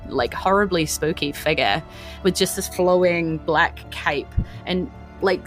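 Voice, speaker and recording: A woman game master with a New Zealand accent narrates a tabletop game in an informal, chatty style. Her voice is bright and slightly low, with music playing behind her. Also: a little slow, low-quality recording.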